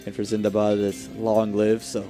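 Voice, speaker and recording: A man's voice: fairly low pitch, monotone, normal speed, music in the background. A man giving casual analysis as a live cricket co-commentator, conversational and easygoing.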